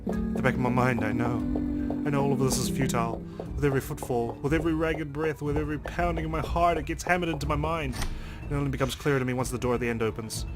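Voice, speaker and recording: A man hosting a let's play, reading game text aloud dramatically and swearing as he reacts. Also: medium pitch.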